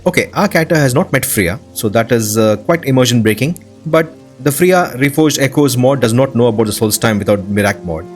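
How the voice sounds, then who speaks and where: A man's voice: mid-range voice, animated, a little fast, few pauses. A man hosting gameplay commentary on game mods in an enthusiastic, appreciative way. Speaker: host of a game modding news show. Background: prominent game music.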